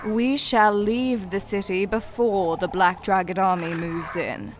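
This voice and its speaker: A woman playing a hard, imperious dark elf commander in a fantasy audio drama, speaking with dramatic authority. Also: mid-range voice, fairly even intonation, a little slow, noisy background, natural voice. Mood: grim command. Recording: music behind the voice.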